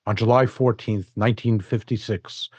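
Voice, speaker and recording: A man's voice: on the low side, fairly even intonation, normal speed, a little mellow, breathy edge, dry recording, highs cut off. A male announcer giving the closing statement of a radio drama in a formal, solemn read.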